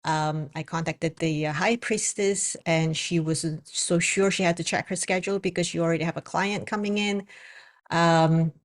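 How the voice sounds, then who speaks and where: A woman with an Indonesian accent, a spiritual coach and psychic reader, talking casually to her viewers with many pauses and fillers. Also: on the low side, fairly even intonation. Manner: hesitant, many fillers and restarts. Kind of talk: casual spoken video message.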